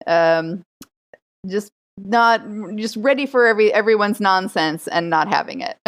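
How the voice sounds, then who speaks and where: A woman playing a tabletop game on a podcast, casually describing her character in a halting, thinking-aloud way. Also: mid-range voice.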